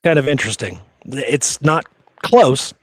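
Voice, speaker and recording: A man's voice: mid-range voice, animated, a little slow, no room sound. A man hosting a history show, telling stories conversationally from his research notes with casual, amused asides.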